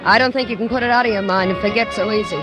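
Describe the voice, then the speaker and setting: A woman's voice: mid-range voice, rapid-fire, close to an everyday voice. An actress in 1940s radio style playing an anxious, grieving woman who fears a voodoo curse. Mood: anxious, uneasy. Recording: vintage radio broadcast with music and crowd.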